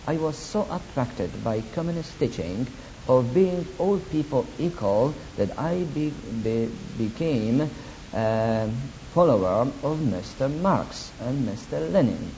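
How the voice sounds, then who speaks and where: Slow Polish-accented man preaching, lo-fi. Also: mid-range voice, lively intonation, warm tone. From Poland. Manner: deliberate, halting non-native phrasing with wry asides. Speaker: guest preacher. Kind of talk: sermon with personal testimony. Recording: dull lo-fi recording with faint hiss.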